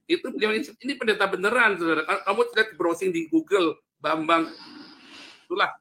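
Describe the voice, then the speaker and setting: A man with a sharp, forceful voice pitched a little high. He speaks as a pastor in a religious debate, pressing his point bluntly in informal language. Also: a little fast. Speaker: pastor on a panel.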